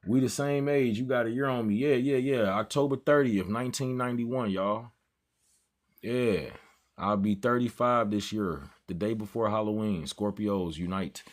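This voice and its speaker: A man's voice: fairly low pitch, normal speed. Chatty man in his thirties. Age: 30s. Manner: loose slangy banter, easily sidetracked. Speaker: trading stream host. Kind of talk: casual livestream chat with viewers.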